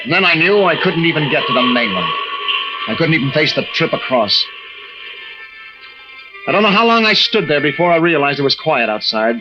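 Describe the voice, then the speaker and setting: An actor playing a tense, fearful lighthouse keeper and husband in an old-time radio drama, snappish and evasive. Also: male voice, on the high side, very animated, fairly quick, long pauses. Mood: haunted, brooding. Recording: muffled old radio recording with music.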